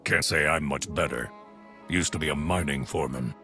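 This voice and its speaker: A sardonic former foreman turned fighter in a video game cutscene. He has a deep voice and a dry, laconic, teasing manner. Music plays clearly behind him. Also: moderate pace, sharp tone, heavily acted. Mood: wry. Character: wry, rough male ex-mining foreman turned militia fighter.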